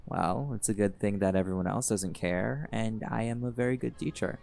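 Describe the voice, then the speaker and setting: Guy doing a chill flirty jaguar shifter dude, kinda low and gravelly, easygoing, soft music under it. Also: moderate pace. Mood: laid-back. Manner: easygoing, teasing, relaxed drawl with laughs. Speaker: voice actor in audio roleplay. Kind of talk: audio roleplay, speaking to listener.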